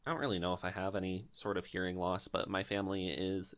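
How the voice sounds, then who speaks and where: A man's voice: sits low, fairly even intonation, fast, a bit bright. A man hosting a casual interview, speaking in an accommodating, slightly hesitant way. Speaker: podcast host.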